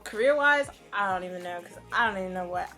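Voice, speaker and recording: A woman's voice: medium pitch, animated, fast, bright, forceful tone, faint music behind. Young woman vlogger, easygoing and giggly, mid-range voice. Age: young adult. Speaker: co-host of a fan channel. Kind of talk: casual Q&A chat.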